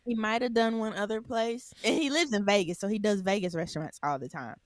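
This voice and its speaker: A woman's voice: mid-range voice, brisk, dry recording, studio quality. Woman explaining things with lots of ums. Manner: rambling, self-correcting storytelling with many ums. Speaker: podcast co-host. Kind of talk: casual chat.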